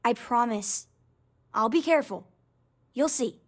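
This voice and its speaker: A woman's voice: a little high, a little slow. A woman voicing a plucky, stubborn young daughter in a video game, speaking in an earnest, insistent way. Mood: determined, pleading. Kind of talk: video game dialogue acting.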